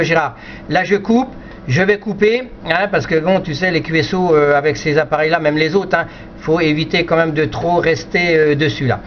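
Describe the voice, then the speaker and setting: A man operating an amateur radio, explaining technical things casually in choppy, repeated phrases. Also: high voice. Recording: slightly dull, faintly noisy radio chat recording.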